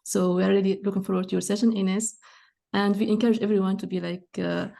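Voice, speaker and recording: A woman's voice: mid-range voice, flat delivery, fast, vocal fry, dark tone. A woman hosting an online session in an informal way. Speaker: session host. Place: online video call.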